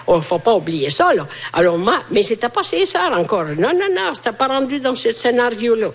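Older woman, fairly high pitch, animated anecdotes, theatrical exclamations. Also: normal speed. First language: Italian. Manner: exuberant, theatrical, acts out dialogue and exclaims. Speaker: interview guest.

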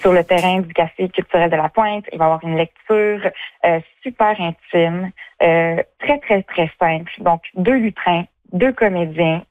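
Female interviewee, Quebec accent, slightly low pitch, casual spoken answers, narrow telephone-style sound. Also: normal speed, dry recording. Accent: Quebec French. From Quebec, Canada. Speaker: festival artistic director. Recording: tinny phone-like line.

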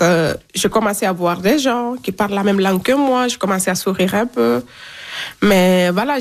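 A young woman being interviewed, giving a casual, personal account of her own life. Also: medium pitch. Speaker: interviewee in a radio documentary.

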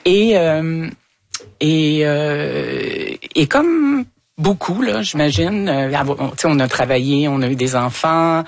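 A woman in her sixties with a Quebec French accent, calling into a radio show and talking slowly through her thoughts with many fillers and restarts. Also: low voice, animated, creaky, dry recording. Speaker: radio caller. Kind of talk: reflective radio conversation.

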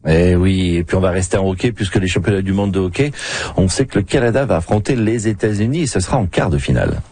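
Lowish, husky male radio host. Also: fast talker, dark tone. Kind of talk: live hosting with handovers to reporters.

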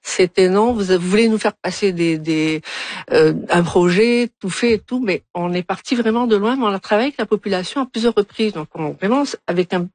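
A woman's voice: mid-range voice, brisk, darkish tone, no echo. A middle-aged woman from Algeria, an urban planner answering interview questions, often restarting and repeating words as she searches for them.

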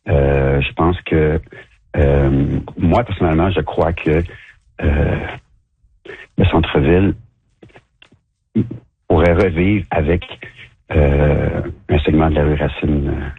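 A man's voice: rumbling low, slow pace, vocal fry, long pauses, slightly rough, no echo. A man from Montreal with a Quebec French accent, a downtown shop owner talking as a radio interview guest, hesitant with many restarts and fillers. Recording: thin phone-like radio line.

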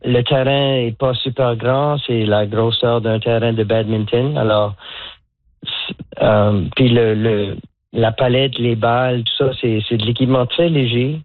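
A man's voice: medium pitch, fairly even intonation, measured pace, no room sound. Man, 60s, from Moncton, hesitant, lots of fillers, phone line, dry sound. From Moncton, Canada. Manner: hesitant, many fillers and restarts. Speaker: guest, pickleball coach. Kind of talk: radio phone interview.

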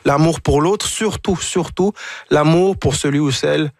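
A man, a guest on a talk show, speaking reflectively and haltingly, often repeating words as he searches for them. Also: on the high side, a little mellow, no echo, clean studio sound. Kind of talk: reflective conversation.

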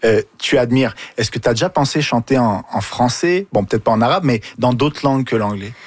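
A man's voice: medium pitch, expressive, a little fast, breathy edge, no room sound. Brief: male host, friendly long questions, dry sound. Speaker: interviewer, host. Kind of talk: radio-style interview.

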